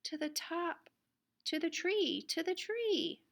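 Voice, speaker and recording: A woman reading a children's picture book aloud in an expressive, deliberate way. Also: very high voice, gravelly. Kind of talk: read-aloud children's storybook narration.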